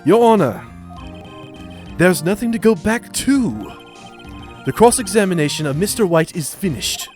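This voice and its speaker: A man's voice: high voice, animated, unhurried, pauses often, a little mellow. A man acts as a haughty male prosecutor in an amateur video game dub. He is composed and coolly formal, with music playing behind him.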